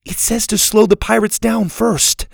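A man voicing an earnest, anxious young girl in a storybook, urgent and hurried. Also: high-pitched, fairly even intonation, vocal fry, no room sound, studio quality, pitched above the performer's own voice, full character voice. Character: earnest, frantic young girl reading from a guidebook.

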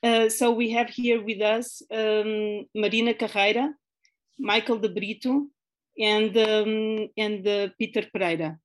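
A woman's voice: medium pitch, steady tone, measured pace. A woman moderating an online conference panel, talking with many hesitant fillers over a video call.

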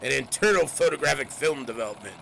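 An actor playing a brash, gadget-loving middle-aged newspaper editor in a 1920s period audio drama, talking with enthusiasm in old-time slang. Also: male voice, medium pitch, animated, quick pace, fairly bright tone. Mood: musing, expounding. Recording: clearly noisy, background ambience.

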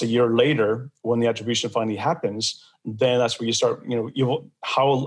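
Male host, casual podcast chat, medium pitch, loose and rambling delivery. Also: breathy edge. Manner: rambling, many fillers and restarts.

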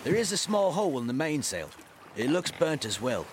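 Lively, dutiful ship's officer. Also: male voice, fairly high pitch, normal speed, a little hiss or hum. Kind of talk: audio drama acting.

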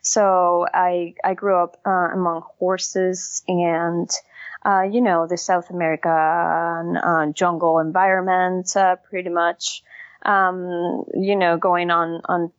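Venezuelan-accented woman founder, hesitant, low voice, dull dry line. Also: level tone, takes it slowly, no echo. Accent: Venezuelan Spanish. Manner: hesitant, many fillers and restarts, laughs easily. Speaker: company founder and guest. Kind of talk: conversational interview answers.

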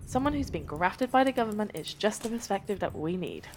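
A woman plays an opinionated gossip in a radio drama. She has a mid-pitched, very lively voice, with a faint noise in the background. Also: brisk, lightly acted. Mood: gossipy, opinionated. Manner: expressive, gossipy. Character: opinionated, gossip-loving ordinary female voter. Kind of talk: radio play acting, chatty dialogue.

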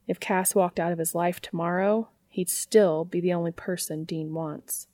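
A woman's voice: fairly low pitch, steady tone, moderate pace, dark tone, dry recording. A woman narrating a piece of written fiction in the style of an audiobook.

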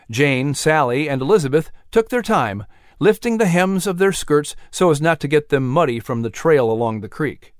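A man narrating a children's audiobook in an expressive storytelling manner. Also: on the high side, no room sound, clean studio sound.

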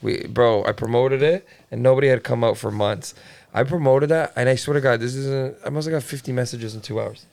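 A man's voice: mid-range voice, fairly quick, gravelly, darkish tone. A man hosting a comedy podcast, talking brashly with lots of swearing and "bro". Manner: brash, swears often, says bro a lot. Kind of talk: casual chat.

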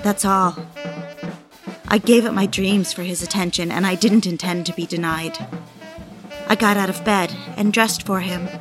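An actress playing a desperate, obsessive mistress in an audio drama, with a needy, confessional manner. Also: female voice, mid-range voice, fairly even intonation, moderate pace, long pauses, over music, natural voice. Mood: quiet confession. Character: desperate, obsessive mistress pleading for her lover's attention.

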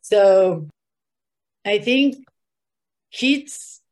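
A woman's voice: medium pitch, expressive, drawn-out words, darkish tone. A woman from Argentina, a Spanish native speaker, talking in an interview in earnest, non-native English with an Argentine accent. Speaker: guest. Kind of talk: podcast interview.

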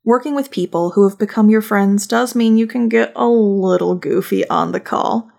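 A woman's voice: medium pitch, moderate pace, vocal fry, darkish tone. A woman hosting a podcast with scripted but conversational narration and a playful, dry sense of humor. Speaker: podcast host.

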